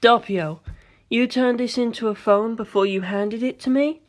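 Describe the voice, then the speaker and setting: A calm, composed young male leader who speaks softly and with slow precision, as a woman acts him out. He never raises his voice. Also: a little high, pitched above the performer's own voice, close to an everyday voice. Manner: soft, slow, measured.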